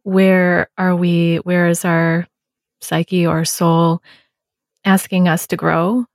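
A woman's voice: on the low side, little pitch movement, slow, no echo, studio quality. A woman hosting a podcast, speaking in a reflective, thoughtful way. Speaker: podcast host. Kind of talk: conversational interview.

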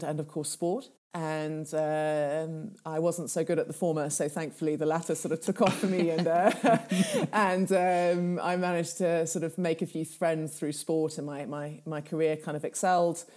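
A woman's voice: sits low, fairly even intonation, moderate pace, few pauses, darkish tone. A woman in her thirties from Kenya, a charity founder talking as an interview guest in a thoughtful way, with many fillers and restarts. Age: 30s. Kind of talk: casual interview talk.

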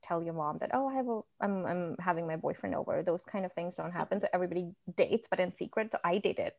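Soft-spoken woman with vocal fry. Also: fairly low pitch, fast talker, muffled recording. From India. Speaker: guest author. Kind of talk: casual interview chat.

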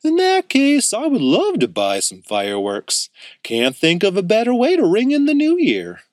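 A man putting on a laid-back storybook uncle with a raised, lively voice. He teases with easy, wry humor, heard in a dry, close recording. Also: high-pitched, moderate pace, dry recording, voice pushed up.

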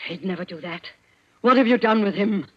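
A woman's voice: mid-range voice, fairly quick, slightly rough, lightly acted. An actress playing a commanding female leader of a secret society, who demands answers intensely in a 1940s radio play. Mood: fierce. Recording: dull lo-fi vintage radio recording.

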